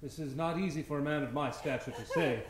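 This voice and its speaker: An actor playing a solemn, self-important prison warden in an old-time radio play, with pompous, declamatory delivery. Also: male voice, on the high side, fast talker, a crowd in the background, close to an everyday voice. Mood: grave, pompous. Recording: echoey live stage recording.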